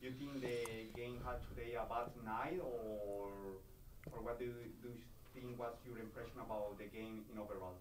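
A male radio reporter asking wordy, halting questions at a press conference. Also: medium pitch, fairly even intonation, distant mic, a little hiss or hum. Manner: halting, wordy questions in non-native phrasing. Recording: roomy sound from off mic.

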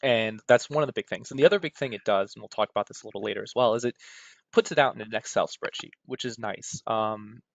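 Low-voiced American man presenting, roomy sound. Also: fast, gravelly. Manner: conversational, often checking in with "right?". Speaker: webinar presenter. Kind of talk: informal technical webinar presentation. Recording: roomy, dull lo-fi webinar audio.

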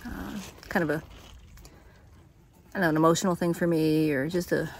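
Low-voiced woman vlogging on a hiking trail. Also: fairly even intonation, normal speed, gravelly. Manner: chatty, plain-spoken, many fillers and restarts. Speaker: thru-hiker vlogger. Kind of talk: casual trail vlog to camera. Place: outdoors on a mountain meadow, later inside a small tent. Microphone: phone held at arm's length.

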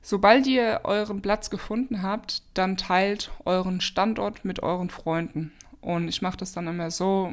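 A woman hosting a podcast, talking casually with a slight cold and giving advice, chatty with many fillers and restarts. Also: on the low side. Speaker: podcast host.

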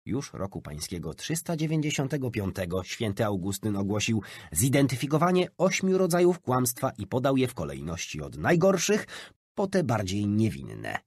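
A man reading a nonfiction audiobook as an expressive scripted narration. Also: mid-range voice, moderate pace, husky, no room sound, studio quality.

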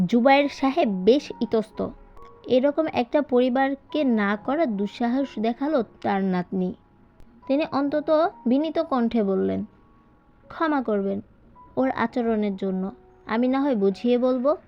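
A woman reading a scripted story as a dramatic audiobook narration. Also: fairly high pitch. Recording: dull lo-fi voiceover with music. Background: background music.

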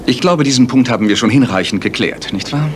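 Guy doing cool sarcastic detective in an audio play, mid pitch, clear background noise. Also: lively intonation, fast talker, lightly acted. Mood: cool, guarded. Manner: dry, polite, curt. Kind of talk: German audio drama acting.

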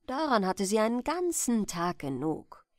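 A woman reading a bedtime fairy tale as a storyteller, in a gentle, expressive manner. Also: mid-range voice, a little slow, no room sound.

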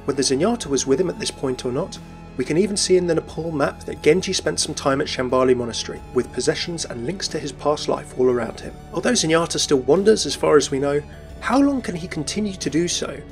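A man's voice: on the high side, animated, fast talker, faint music behind. A man narrating a scripted video about video game lore as its presenter.

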